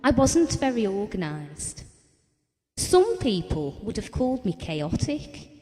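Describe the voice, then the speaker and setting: A woman's voice: mid-range voice, big pitch swings, takes it slowly, mellow, long reverb tail. An actress playing a candid, self-deprecating wife who confides wryly and conversationally about her marriage in an intimate audio drama.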